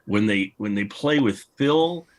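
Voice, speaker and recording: A man with a fairly low voice chats casually as the host of a panel discussion. He keeps it conversational. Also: animated, a little slow, crowd noise.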